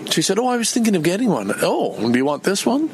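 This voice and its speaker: A man's voice: high-pitched, very animated, quick pace, a little hiss or hum, poor audio. An older man from Canada in a rambling personal vlog, meandering and chatty with many restarts. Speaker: vlogger.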